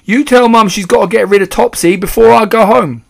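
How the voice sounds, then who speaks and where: A man's voice: a little high, big pitch swings, normal speed, voice pushed up. A man voicing a cheeky, carefree little boy who has become a mouse in a storybook. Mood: bossy. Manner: carefree, chirpy, boyish.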